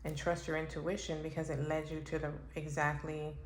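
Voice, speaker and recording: A woman doing a casual pick-a-card tarot reading for her channel. She thinks aloud slowly, with pauses and fillers. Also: low-pitched, level tone. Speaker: tarot reader. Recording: echoey room with faint background noise.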